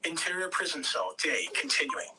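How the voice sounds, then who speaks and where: A man narrates a screenplay's scene headings and action at an amateur table read. His voice is high for a man and very bright, picked up a little away from the microphone with faint room noise. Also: a little fast, distant mic, low-quality recording. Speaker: stage-direction reader.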